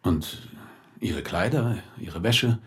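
A man as a police inspector in a radio play, with a fairly low voice. His intonation moves widely as he puts measured, courteous questions that keep probing. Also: expressive. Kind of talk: German radio play dialogue.

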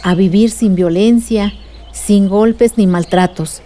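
A woman's voice: mid-range voice, takes it slowly, dark tone, lightly acted. An actress playing an adult village woman, a patient, earnest activist recounting memories in a radio drama. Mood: moved, proud. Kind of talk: radio drama dialogue and narration.

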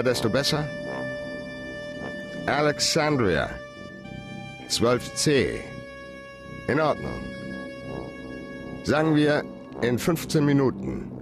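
An actor playing a cool, worldly private detective in a radio drama, laconic, flippant and casually familiar. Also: male voice, medium pitch. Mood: businesslike.

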